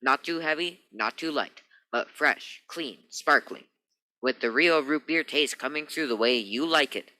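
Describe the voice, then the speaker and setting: An actor playing an upbeat, folksy 1940s radio pitchman, reading an ad with polished, reassuring salesmanship. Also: a little slow, sharp tone, poor audio.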